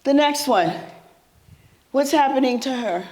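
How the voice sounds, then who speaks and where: Woman presenter, soothing voice, slightly high, conversational, asks the audience questions. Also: expressive, unhurried, a little mellow. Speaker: presenter at a mental health symposium. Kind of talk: interactive workshop presentation. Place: conference room before a live audience.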